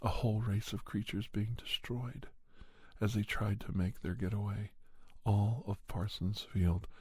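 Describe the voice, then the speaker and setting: Man, ASMR horror narrator, dark mellow tone, close dry sound, soft breathy gravel, dramatic broken phrasing. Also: lowish voice, fairly even intonation, no room sound. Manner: dramatic, deliberate, with short broken phrases and pauses. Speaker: storyteller and podcast host. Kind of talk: scripted horror story narration in ASMR style.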